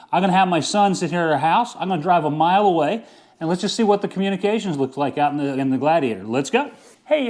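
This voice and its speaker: A man's voice: on the high side, a little mellow. A middle-aged man hosting a casual outdoor gear review in an informal, enthusiastic way. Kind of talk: casual product review vlog.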